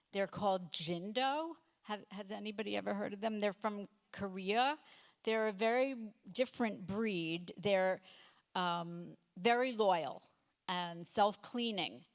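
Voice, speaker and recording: A woman in her fifties doing a stand-up comedy set, delivering deadpan one-liners with halting fillers and self-corrections. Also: mid-range voice. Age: 50s. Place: comedy night stage before a live audience.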